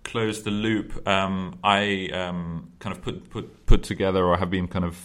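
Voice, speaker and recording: A man's voice: deep voice, little pitch movement, unhurried, fairly bright tone. A man hosting a casual conversation, talking loosely with lots of ums and hesitations. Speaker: podcast host.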